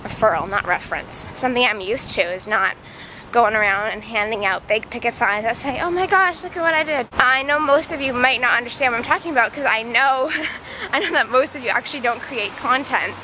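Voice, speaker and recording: A woman's voice: fairly high pitch, fairly quick. A woman with a congested, stuffy voice from a cold, chatting casually and animatedly into a handheld camera as she walks along city streets. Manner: chatty, animated storytelling with acted-out quotes. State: has a cold. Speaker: video creator. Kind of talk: casual rambling walk-and-talk vlog. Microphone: handheld camera held close while walking. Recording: outdoor street noise with passing traffic.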